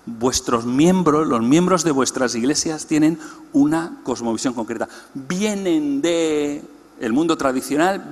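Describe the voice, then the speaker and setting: A man's voice: a little high, a little mellow. A man giving an explanatory teaching talk to a church congress, putting questions to the audience. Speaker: conference speaker. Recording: long hall reverb.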